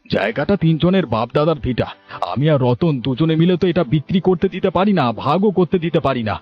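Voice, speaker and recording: A man's voice: a little high, quick pace, slightly rough. A man voicing a scheming, stern elder brother from a farming village in a cartoon, with an argumentative, reproving manner. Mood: annoyed. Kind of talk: Bengali cartoon story dubbing. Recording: dull lo-fi audio, music bed.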